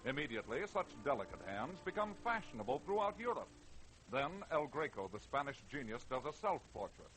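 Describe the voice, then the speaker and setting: A man's voice: mid-range voice, sing-song, brisk, a bit bright. A man narrating a scripted radio programme in dramatic 1940s style, on a noisy vintage recording.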